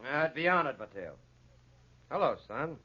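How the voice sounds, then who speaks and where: Muffled old radio drama recording of a country sheriff. His voice sits fairly high for a man, and he speaks in a measured, dry, knowing way. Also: very animated, bright, forceful tone, husky, heavily acted. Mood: dryly genial. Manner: measured, pointed, official. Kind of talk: 1940s radio drama acting.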